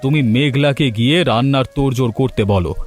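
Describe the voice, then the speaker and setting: Man doing a gentle, generous village patron. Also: medium pitch, fast, natural voice. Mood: kindly. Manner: gentle, reasoning, hesitant. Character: generous village benefactor, middle-aged, wise and modest. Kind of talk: cartoon voice acting.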